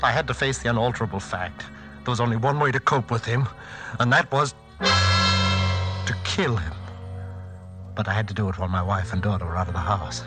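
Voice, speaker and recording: An actor playing an anxious, desperate husband, narrating gravely and confessionally in a 1940s radio thriller. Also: male voice, fairly low pitch, moderate pace, pauses often, music in the background, lightly acted. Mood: grim, desperate.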